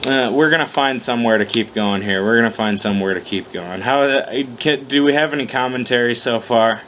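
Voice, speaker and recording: Bright-voiced man, profane and self-deprecating, slight background noise. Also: medium pitch, fairly quick. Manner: self-deprecating, profane, stumbling over lines. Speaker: host reading own fan fiction aloud. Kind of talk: casual profane commentary and informal story reading.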